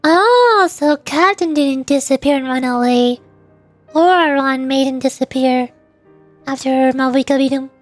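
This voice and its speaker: A woman's voice: high-pitched, a little slow, faint music behind. A woman livestreaming a game, chatting casually in an informal, rambling way. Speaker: VTuber game streamer.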